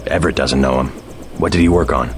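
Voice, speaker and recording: An actor playing a terse, deadpan special agent in a video game. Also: male voice, extremely low pitch, fast, background noise. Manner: flat, deadpan.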